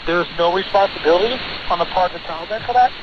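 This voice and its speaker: A man's voice: high-pitched, fast, a loud crowd. An American man, a lawyer being interviewed, answering in an assertive, argumentative and insistent manner over a radio relay. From United States. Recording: noisy, dull shortwave radio relay.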